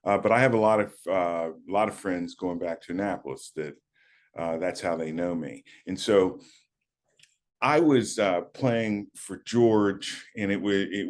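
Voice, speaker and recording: A man in his fifties from the United States, recalling his playing days in a relaxed, halting interview manner with many fillers. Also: sits low. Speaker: guest, former college running back. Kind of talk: casual interview talk.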